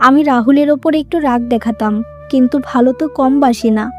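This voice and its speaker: A woman's voice: sits high, brisk, muffled recording, faint music behind, close to an everyday voice. A woman voicing an emotional, plaintive young modern wife in a moral-story cartoon. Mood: relieved, apologetic.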